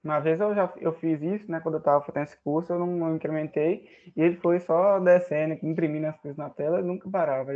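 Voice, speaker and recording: A man's voice: fairly high pitch, muffled recording. A man walking through a program as he runs it, thinking aloud and checking with the class. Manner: thinks aloud while typing inputs, frequent "né?". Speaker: student presenting code. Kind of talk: live coding walkthrough.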